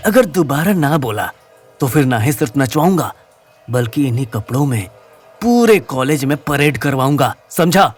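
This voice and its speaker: A man's voice: mid-range voice, big pitch swings, moderate pace, faint music behind. A man voicing a cruel, crude young gang-leader bully in an audio story, threatening and taunting. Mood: menacing. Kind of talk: Hindi audio story character acting.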